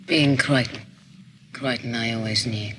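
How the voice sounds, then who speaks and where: A man with a husky voice, acting in a science-fiction television drama. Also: medium pitch, steady tone, measured pace, background noise, close to an everyday voice. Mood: earnest.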